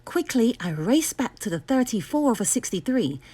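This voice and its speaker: A woman reading a children's storybook aloud expressively, enunciating clearly with dramatic emphasis. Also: a little high, very animated, moderate pace, dark tone. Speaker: storybook reader.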